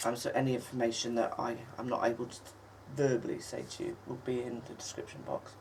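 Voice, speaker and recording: A woman with a husky, croaky voice from a lingering cold talks through a crafting project in a rambling, halting way. Her ums are frequent and a cough breaks in now and then. She sits a little back from the mic, with a faint hiss underneath. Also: extremely low pitch, flat delivery, darkish tone. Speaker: craft channel host. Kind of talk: casual crafting tutorial. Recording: distant mic with faint noise.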